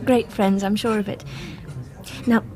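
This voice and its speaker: An actress playing a friendly young hairdresser's assistant in a radio drama, chatty and polite, with an English accent. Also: female voice, medium pitch, steady tone, a little slow, mellow, lightly acted. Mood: friendly, playful. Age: young adult.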